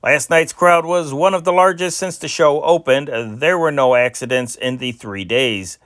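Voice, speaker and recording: A man's voice: on the high side, moderate pace, a bit bright, no echo, studio quality. A man reading old newspaper stories aloud, with occasional stumbles and restarts. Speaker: amateur news reader and channel host.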